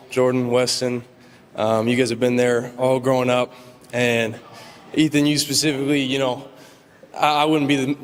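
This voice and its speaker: A young man speaks humbly and haltingly, pausing on fillers, in a flat, even tone. His voice carries a long reverb from the large awards hall around him. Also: medium pitch. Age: young adult. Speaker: award winner giving a speech. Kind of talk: acceptance speech.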